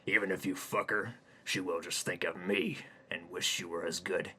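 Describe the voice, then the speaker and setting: A man's voice: low-pitched, fairly even intonation, moderate pace, sharp tone. A man voicing a cocky, crude male office alpha who boasts smugly.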